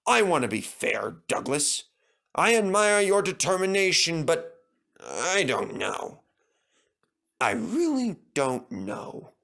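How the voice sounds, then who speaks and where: A man playing a stern but fair-minded older railway controller, dry and measured, in a children's story. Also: sits high, big pitch swings, creaky, sharp tone, pitched above the performer's own voice, full character voice. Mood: stern, thoughtful.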